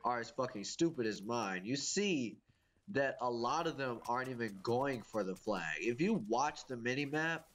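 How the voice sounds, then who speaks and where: A man's voice: mid-range voice, expressive, moderate pace, fairly bright tone. A young man with a congested, sniffly voice from a cold, giving casual, profane gameplay commentary and ranting about his teammates. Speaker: gaming YouTuber.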